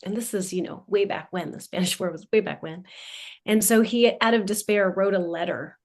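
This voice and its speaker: A woman in her forties who is a coach and a guest on an interview, telling her family story in a thoughtful, measured way. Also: mid-range voice. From United States. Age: 40s.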